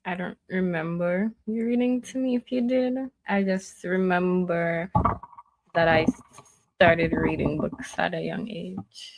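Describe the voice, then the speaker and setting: A woman's voice: lowish voice, steady tone, measured pace, gravelly, lo-fi sound. A young woman from Dominica in a casual family chat, frank and opinionated. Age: young adult. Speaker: guest, daughter.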